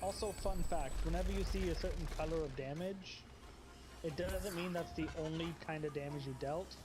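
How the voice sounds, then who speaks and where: A man with a loud voice, chatting and joking casually with friends while playing a video game. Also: a little high, moderate pace, over music. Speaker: gaming video creator. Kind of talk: casual gameplay chat with friends.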